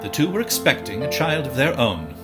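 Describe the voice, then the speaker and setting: A woman playing a wry, knowing storyteller, narrating a stage musical with arch dramatic pauses over music. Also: very deep voice, very animated. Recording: music under the voice.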